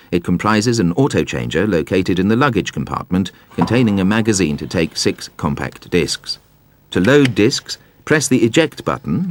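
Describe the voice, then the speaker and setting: A man reading scripted instructional narration in a measured, precise, explanatory way. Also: deep voice, a little hiss or hum. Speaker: narrator of a car instruction video.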